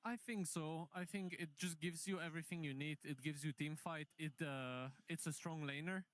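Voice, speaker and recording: A man's voice: on the high side, normal speed. A man working as an esports analyst on a live desk, walking steadily and methodically through how a teamfight played out. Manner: steady, methodical play-by-play breakdown.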